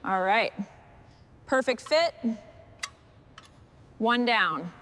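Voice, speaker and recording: A woman's voice: fairly high pitch, lively intonation, very slow, fairly bright tone, a little hiss or hum. A woman working as a mechanic, giving short hands-on commentary on her work as she goes. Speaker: mechanic narrating restoration work.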